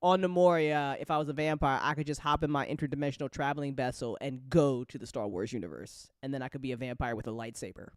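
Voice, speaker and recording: Woman hosting a casual chat, dry close sound, animated and sweary, kinda playful. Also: extremely low pitch, quick pace, few pauses, no room sound. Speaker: host of a fantasy audio drama chat show, writer.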